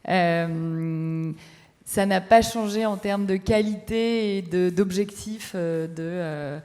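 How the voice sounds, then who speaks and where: A woman speaks as an entrepreneur interviewed at a talk event. Her voice is on the low side and comes in halting phrases. A long hall reverb surrounds it. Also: mellow. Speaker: entrepreneur being interviewed on stage. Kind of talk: spoken testimony at a business talk event.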